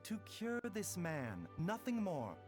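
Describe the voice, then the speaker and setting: An actor voicing a calm, courteous male doctor in a video game, speaking in a smooth, measured way. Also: high voice, faint music behind, full character voice. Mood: composed. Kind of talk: game voice acting.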